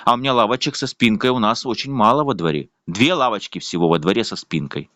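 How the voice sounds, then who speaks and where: A man's voice: on the low side, quick pace, a bit bright, no echo. A man with a voice, complaining at length in a casual, rambling video monologue full of fillers. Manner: indignant, rambling, with frequent fillers. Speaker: vlogger.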